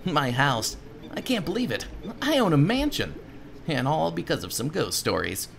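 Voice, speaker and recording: Man acting boastful young adventurer, cartoon game, theatrical, self-satisfied, swooping intonation, gravelly grain. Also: on the high side, big pitch swings, moderate pace, a bit bright, slight background noise, heavily acted. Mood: proud, defiant. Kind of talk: game dialogue read aloud as a character.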